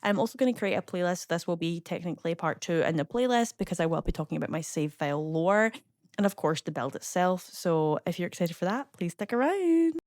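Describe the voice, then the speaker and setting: A young Scottish woman chatting casually as a voice-over, rambling with lots of fillers and quick asides. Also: fairly low pitch, sing-song, darkish tone, no echo, studio quality. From Scotland. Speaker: YouTuber narrating a game build.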